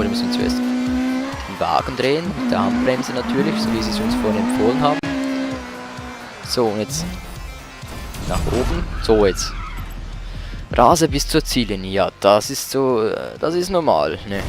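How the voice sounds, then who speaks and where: A man giving casual, colloquial live commentary as a let's player, reacting aloud to the game with short exclamations. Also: medium pitch. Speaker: let's player hosting a gameplay video. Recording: loud game music and car engines behind. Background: racing game music and engine noise.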